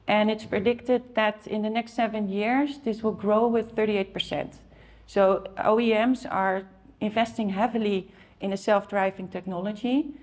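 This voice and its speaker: A woman's voice: mid-range voice, a little mellow. A middle-aged woman from the Netherlands with a Dutch accent, giving a conference talk in a conversational way, with many fillers and restarts. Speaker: conference speaker.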